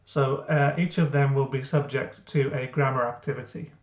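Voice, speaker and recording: A man, the founder of a language app, walking through his software step by step in an explanatory way, with frequent uh and um. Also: medium pitch, fairly even intonation, normal speed. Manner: explanatory, many uh and um fillers. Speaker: software founder giving a tutorial. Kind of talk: screen-recorded software walkthrough. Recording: roomy, dull lo-fi.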